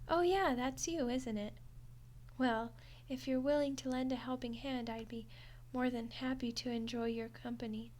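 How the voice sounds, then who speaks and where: A woman's voice: on the high side, steady tone, moderate pace, a little mellow, lightly acted. A woman voicing a friendly, lonely undead skeleton girl in an ASMR roleplay, chatting casually and awkwardly to the listener. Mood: friendly, tired. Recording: clear background noise and ambience.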